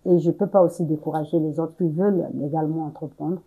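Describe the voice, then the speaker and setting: Guest: young woman, dark mellow tone, slightly husky, halting, lots of fillers. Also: low-pitched, fairly even intonation, rough recording. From Congo. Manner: many fillers and restarts, searching for words. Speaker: entrepreneur interview guest. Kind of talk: hesitant conversational interview answers.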